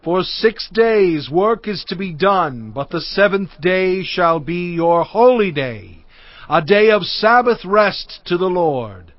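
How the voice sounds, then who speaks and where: A man's voice: sits high, expressive, slow pace, no echo. A man playing Moses as a grave prophet declaiming earnestly to his people. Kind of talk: dramatized scripture reading. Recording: dry, slightly dull audio.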